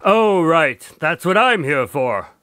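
An actor playing a doctor who tells his cases to a visitor, speaking in a measured, somber way. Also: fairly high pitch, sing-song, fairly bright tone, clean tone, no echo, clean studio sound, heavily acted. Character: Dr. Watson's grandson, a doctor who narrates cases. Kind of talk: audio drama acting.

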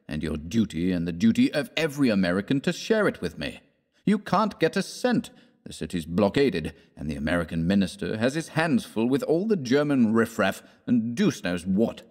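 Man playing a bossy, generous young friend, animated. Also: lowish voice. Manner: insistent, affectionately exasperated. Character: generous, bossy young man of twenty-two, brotherly scold. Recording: long reverberant room echo.

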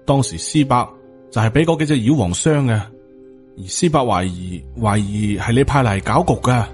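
A man's voice: mid-range voice, animated, moderate pace, darkish tone, lightly acted. A man with a Hong Kong Cantonese accent voicing a reluctant, respectful male disciple in an audiobook. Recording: music under the voice.

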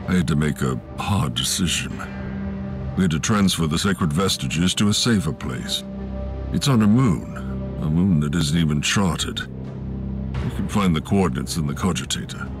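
A very deep-voiced, grave inquisitor from a video game. He is proud and guarded in how he speaks. Soft music plays behind him. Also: animated, normal speed. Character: grave male inquisitor, guarded and proud, then humbly apologetic. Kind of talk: video game cutscene acting.

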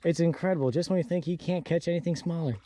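A man's voice: a little high, quick pace, mellow. A young American man giving casual fishing commentary as the vlogger on a trip. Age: young adult. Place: outdoors on a small boat on open water. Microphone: action camera on board. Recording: boat and water noise outdoors.

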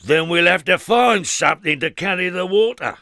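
Guy putting on a cheery super-strong storybook man, high and loud, dry sound. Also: expressive, moderate pace, creaky, no echo, full character voice. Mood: cheerful, eager. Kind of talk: storybook character voice.

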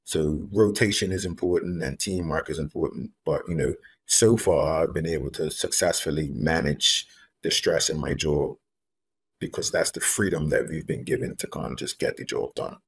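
A very low-voiced man from Bermuda speaks earnestly and deliberately, leaning on repeated phrases to drive a point home. He is a guest on a video call from a room at home. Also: slight background noise. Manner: earnest, measured, repeats key phrases for emphasis. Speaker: guest, homeless advocate. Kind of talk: reflective interview talk. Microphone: video call, laptop camera.

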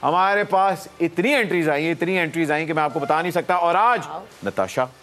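Upbeat high-voiced male comedy host. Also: normal speed, fairly bright tone. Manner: teasing, announcing contest details. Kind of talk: lively studio chat. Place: TV studio.

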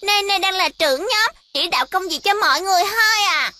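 A woman voicing a bossy, excitable little girl in a dubbed cartoon, speaking insistently. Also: very high voice, a little fast, heavily acted. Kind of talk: dubbed children's cartoon acting. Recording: music behind the voice.

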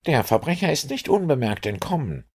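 A man putting on a gruff, worked-up police inspector for an audiobook, his husky voice swinging lively up and down as he reports. The delivery is animated and rushed with alarm. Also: a little high, voice pushed up. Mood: agitated. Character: gruff, agitated middle-aged male police inspector. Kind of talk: audiobook reading.

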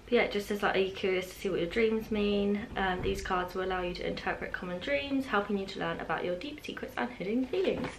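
A woman's voice: mid-range voice, quick pace, hardly any pauses. Chatty young British woman, noisy home recording. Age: 20s. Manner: chatty, informal, trailing asides. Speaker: vlogger. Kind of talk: casual chat to camera, unboxing. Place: open-plan living room at home, indoors.

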